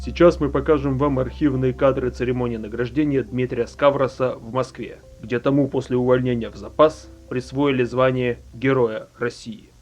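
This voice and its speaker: A man's voice: mid-range voice, steady tone, moderate pace, hardly any pauses, a little mellow, faint music behind. A man reading scripted documentary narration in a formal style.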